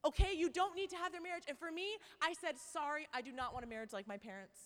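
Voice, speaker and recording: A woman in her twenties giving a faith talk to a live audience, telling a personal story with animated asides. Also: high voice, quick pace. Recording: long hall reverb.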